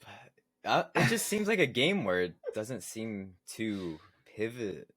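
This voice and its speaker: A man's voice: on the low side, very animated, takes it slowly, fairly bright tone, dry recording. A man co-hosting a podcast, talking casually in an informal, slangy way. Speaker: podcast co-host. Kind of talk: casual gaming podcast chat.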